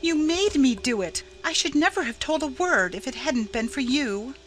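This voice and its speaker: A woman's voice: fairly low pitch, moderate pace, close to an everyday voice. A woman voicing a wretched, tearful young schoolgirl in a storybook, petulant and pleading as she defends herself. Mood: tearful protest.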